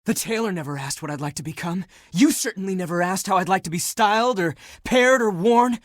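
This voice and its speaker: A man voicing a flirty, laid-back but insecure living pile of laundry in a dating video game, talking in a casual, teasing, breathy way. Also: high voice, brisk, no echo, studio quality, lightly acted. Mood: anguished, defensive.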